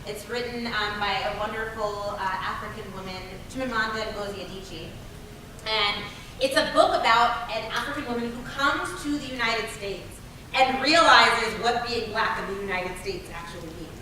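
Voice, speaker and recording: Bright woman leading a workshop, echoey room. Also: fairly high pitch, normal speed. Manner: engaged, affirming, often adds "right?". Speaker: workshop facilitator. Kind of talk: leading a group discussion. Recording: echoey room, distant mic, faint noise.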